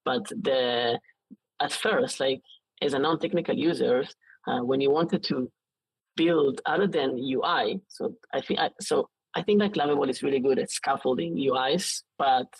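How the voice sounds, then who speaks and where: A man in his late twenties with an Israeli accent, talking casually as an interview guest in a halting way with frequent false starts. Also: mid-range voice, vocal fry, slightly rough, dry recording, poor audio.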